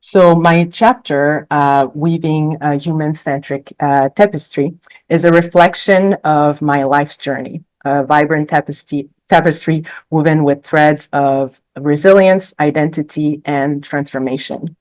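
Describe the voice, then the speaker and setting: A woman's voice: low-pitched, fairly even intonation, a little slow, a little mellow, no room sound. A woman in her forties from Quebec, speaking as a panelist and reading a prepared speech to an audience. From Quebec, Canada. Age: 40s. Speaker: panelist, book contributor.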